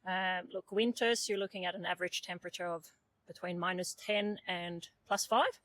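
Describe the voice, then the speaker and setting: A woman's voice: on the low side, steady tone, moderate pace, a bit bright. A woman from southern Sweden answering interview questions conversationally, in a plain-spoken, emphatic way. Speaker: interview guest.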